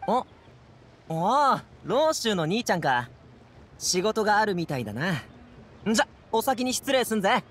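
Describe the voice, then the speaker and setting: An upbeat, friendly young guard from an anime game, with a high, bright-spirited delivery. He speaks in breezy slang with animated intonation, over faint street ambience. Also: a little slow, long pauses, noisy background. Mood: playful. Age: young adult. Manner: casual, breezy, boyish slang. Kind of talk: Japanese anime game voice acting.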